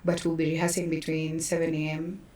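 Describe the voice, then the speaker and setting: A woman's voice: low-pitched, monotone, fairly quick, darkish tone. A woman with a Kenyan accent telling stories conversationally as an interview guest, acting out quoted remarks as she goes. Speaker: theatre producer, interview guest. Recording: roomy echo, distant microphone.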